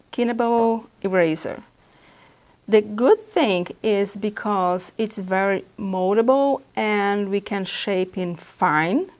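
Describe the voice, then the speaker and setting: A woman from Brazil whose first language is Portuguese, speaking with a Brazilian Portuguese accent as she patiently demonstrates drawing supplies in a beginner art lesson, pausing often as she explains. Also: mid-range voice, a little mellow. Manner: patient, halting explanations with pauses. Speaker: art instructor.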